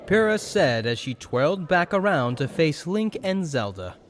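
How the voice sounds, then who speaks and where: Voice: medium pitch, very animated, a little slow, slight background noise. A narrator reading a scripted story for an audio drama in storybook style.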